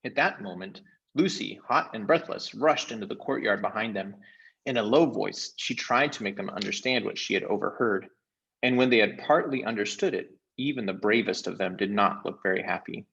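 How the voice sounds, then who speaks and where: A man reading a storybook aloud as an expressive narrator. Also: lowish voice, moderate pace, vocal fry, breathy edge, lo-fi sound.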